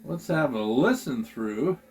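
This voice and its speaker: A man giving an informal tutorial as a music gear demonstrator, explaining things in a halting, unhurried way. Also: medium pitch, lively intonation, slight background noise.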